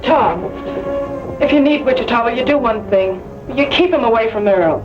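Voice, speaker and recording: A woman's voice: fairly high pitch, expressive, moderate pace, lightly acted. An actress playing an anxious, earnest frontier woman who speaks with urgency in an old-time radio western. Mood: anxious, pleading. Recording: muffled old radio broadcast, music behind.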